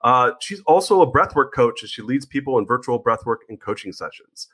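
A man's voice: medium pitch, lively intonation, a little fast, a bit bright. Upbeat, casual male podcast host. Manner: upbeat, casual, stumbles over restarts.

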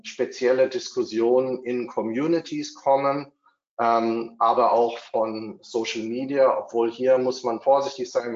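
A man's voice: medium pitch, darkish tone. A bilingual man giving a webinar talk, hesitant, with many fillers and restarts. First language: bilingual, not only German. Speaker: webinar speaker on developer marketing. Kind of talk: webinar presentation. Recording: roomy, dull lo-fi webinar audio.

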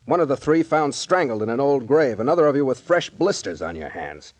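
An actor playing a stern, suspicious police captain in 1940s radio style, with a blunt, commanding way of questioning. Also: male voice, mid-range voice, big pitch swings, fairly quick, darkish tone, no echo. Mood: pressing, suspicious.

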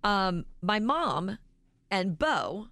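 Deep, raspy-voiced woman radio co-host. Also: big pitch swings, extremely slow, fairly bright tone, dry recording. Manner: chatty, self-mocking, laughs often. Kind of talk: casual radio banter. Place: radio studio.

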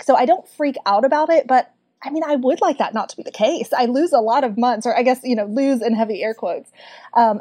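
Southern lady guest on a book podcast, chatty and laughy, voice on the higher side. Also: warm tone. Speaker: podcast guest, book club community manager. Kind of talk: casual chat.

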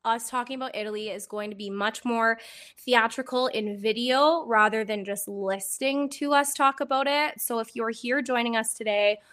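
A hoarse woman chatting on her podcast, her voice slightly phlegmy from a cold. Her tone is bright and sits a touch high, and she talks in a bubbly, giggly way. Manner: bubbly, chatty, quick to laugh. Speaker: podcast host. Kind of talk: casual chat.

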